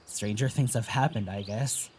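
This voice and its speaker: An actress playing an evasive, low-key mystic traveler in a naturalistic audio drama, hesitant and understated. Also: female voice, moderate pace, darkish tone, breathy edge. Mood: uncertain.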